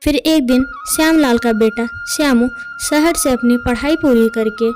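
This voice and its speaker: A woman tells a scripted village moral tale as narrator. Her voice is high-pitched, and light music plays behind it. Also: normal speed. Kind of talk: scripted children's moral story narration in Hindi.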